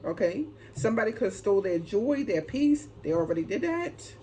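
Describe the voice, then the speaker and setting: A woman's voice: mid-range voice, very animated, a little fast, warm tone. Woman giving a card reading, noisy background. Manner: emphatic, repetitive, keeps checking in with short tags. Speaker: tarot reader and channel host. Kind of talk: unscripted intuitive card reading, casual spiritual talk. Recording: clearly noisy ambient background.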